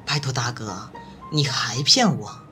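A woman's voice: very deep voice, expressive, measured pace, pushed and bright, heavily acted. A woman voicing a cheeky, boastful college roommate with a teasing, chatty manner in a campus audio drama. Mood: boastful, playful. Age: young adult. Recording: music playing behind the voice.

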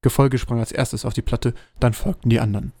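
A man's voice: medium pitch, fairly even intonation, fairly quick, darkish tone, dry recording. A man narrating a scripted comic fantasy audio drama as its storyteller.

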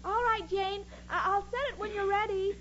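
A woman's voice: very high-pitched, normal speed, a bit bright. An actress playing a sweet, naive, dim-witted young woman in a 1940s radio comedy, guileless and earnest. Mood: sweet, simple. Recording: dull, noisy old radio recording.

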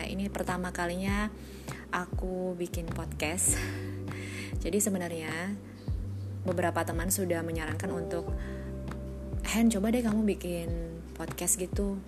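A woman's voice: on the low side, steady tone, a little slow, long pauses. Chatty young woman over music. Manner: chatty, informal, often chuckling. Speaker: first-time podcast host. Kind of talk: casual solo podcast chat. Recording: music behind the voice.

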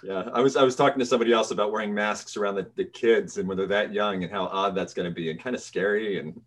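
A man's voice: on the low side, steady tone, fast talker. A man hosting a podcast, chatting casually and laughing often. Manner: laughs often, friendly and joking. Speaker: podcast host. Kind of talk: casual interview chat.

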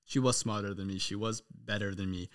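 A man chatting casually on a podcast, quick and animated, swearing freely as he reflects. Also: on the low side.

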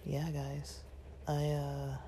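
Raspy, gravelly man in flat half-whispers. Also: a little high, drawn-out words, a little hiss or hum. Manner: rambling, many fillers, half-whispered. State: a bit unwell, rested. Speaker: channel host. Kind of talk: casual monologue to viewers. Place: at home.

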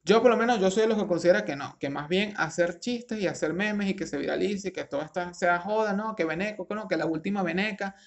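A man's voice: high-pitched, quick pace, few pauses. A young Venezuelan man with a Venezuelan accent, casually commenting on a news article, rambling with restarts and slang. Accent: Venezuelan Spanish.

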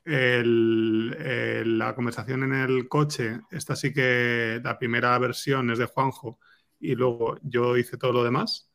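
A man's voice: mid-range voice, flat delivery, takes it slowly, gravelly, a bit bright. A man with an accent from Spain chatting informally as an interviewed screenwriter, often checking in with short tag questions. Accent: Spain Spanish. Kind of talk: casual chat.

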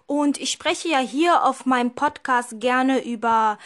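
A woman's voice: high-pitched, measured pace. A young woman in her twenties hosting a casual podcast, friendly and encouraging, asking questions and affirming her guest. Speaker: podcast host.

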